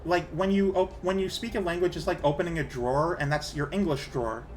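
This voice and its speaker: A chatty man talks casually while he plays a game, wandering from thought to thought and restarting sentences. His voice sits a bit high for a man. A faint hiss runs underneath. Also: steady tone, a little fast. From Canada. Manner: rambling, chatty, restarts a lot. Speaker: streamer. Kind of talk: casual chat while gaming.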